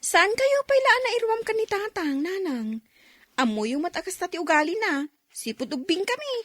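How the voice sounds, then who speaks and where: A woman acting as a worried grown child in an Ilocano radio drama. The voice is high and close, with a dry sound. The delivery is earnest and pleading, with an Ilocano accent. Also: very animated, normal speed, a bit bright, no echo, heavily acted. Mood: concerned. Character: concerned grown child of a quarrelling mother, pleading.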